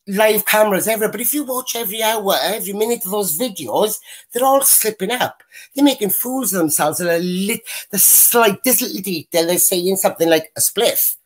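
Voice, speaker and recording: A woman with a deep voice, bluntly giving her opinions as a guest on a video-call panel. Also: lively intonation, normal speed. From Wales. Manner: blunt, rambling, mimics others when quoting. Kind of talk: casual opinionated chat.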